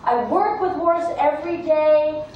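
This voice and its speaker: A woman's voice: high-pitched, measured pace, lo-fi sound. A woman storyteller playing a naive, anxious shepherd in a folktale, speaking earnestly and with emphasis. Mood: hopeful. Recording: echoey room, distant mic, faint noise.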